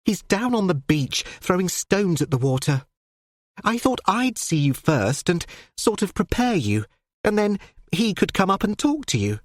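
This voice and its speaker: A breathless, impulsive young woman, as a reader puts her on, pushes every line with urgent emphasis. Her voice rides well above the narration and swings widely in pitch. The recording is close and dry. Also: big pitch swings, a little slow, dry recording. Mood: pleading.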